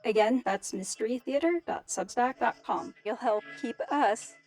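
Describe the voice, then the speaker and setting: Slightly high-voiced woman reading a promo. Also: moderate pace, darkish tone, soft background music. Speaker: promotional announcer. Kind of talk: scripted friendly promo read.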